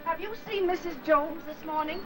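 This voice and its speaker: An actress playing a fussy, talkative, well-meaning older aunt in a 1950s Hollywood film, chatty and fretful. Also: female voice, very high-pitched, fairly even intonation, moderate pace. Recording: old film soundtrack, faint music.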